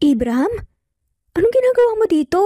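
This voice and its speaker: A woman's voice: very high voice, sing-song, brisk, dark tone, no echo, clean studio sound, pitched above the performer's own voice. A woman voicing a protective, angry mother in a dramatic story, with an emphatic, confrontational manner.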